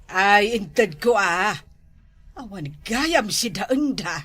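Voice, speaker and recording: A woman's voice: mid-range voice, expressive, drawn-out words, fairly bright tone, husky, full character voice. A woman playing a gossipy older village mother in a comedy drama, folksy, with a northern Philippine accent. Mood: gossiping.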